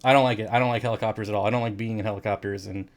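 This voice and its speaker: A man co-hosting a podcast, talking casually in a hesitant, halting way with many fillers. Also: lowish voice, fairly even intonation. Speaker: podcast co-host. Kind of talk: casual chat.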